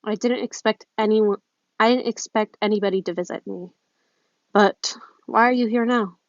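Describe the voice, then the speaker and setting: A woman's voice: medium pitch, fairly even intonation, moderate pace, no echo, close to an everyday voice. A woman voicing a guarded, moody teenage boy with a hesitant, defensive manner in a story reading. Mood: wary. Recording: muffled phone recording.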